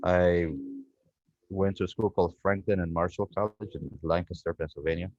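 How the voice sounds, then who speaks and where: A man's voice: low-pitched, fairly even intonation, moderate pace, a little mellow, dry recording. A man, a guest researcher telling his story on a video call in a reflective, rambling way. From Ethiopia. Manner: reflective, rambling with frequent "you know" and restarts. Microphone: video call microphone. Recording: dull lo-fi video call audio, dry.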